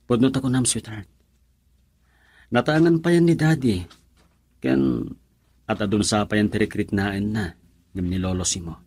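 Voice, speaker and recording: A man's voice: lowish voice, lively intonation, normal speed, long pauses, a little mellow, breathy edge, dry recording. A young man playing a devoted, reassuring boyfriend in an Ilocano radio drama. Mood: tender.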